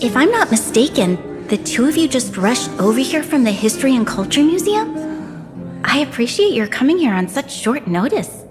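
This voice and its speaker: Dignified young noblewoman in command, video game, formal, composed, highish voice, long reverb. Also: sing-song. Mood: gracious. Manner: formal, measured, authoritative. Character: gracious, dignified young female ruler, dutiful and composed. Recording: reverberant hall, music behind.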